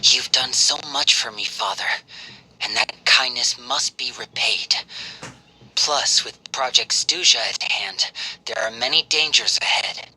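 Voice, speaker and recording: Earnest young game character, slow and solemn, bright tense voice, faint noise. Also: male voice, medium pitch, low-quality recording. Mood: resolute. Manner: solemn, deliberate, resolute. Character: earnest, devoted young follower of a stern leader. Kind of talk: scripted video game voice acting.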